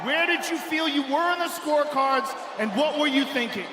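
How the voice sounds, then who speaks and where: Super high-pitched guy interviewing cage side, yelling, echoey arena with noise. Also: moderate pace. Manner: loud, excited, shouting over the crowd. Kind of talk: post-fight interview.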